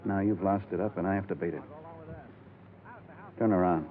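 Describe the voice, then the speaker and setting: An actor playing a weary, bitter, crooked investigator in a 1950s radio drama, talking in a slow, heavy, halting way. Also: male voice, low voice, fairly even intonation, close to an everyday voice. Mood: bitter pleading. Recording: dull, noisy 1950s radio recording.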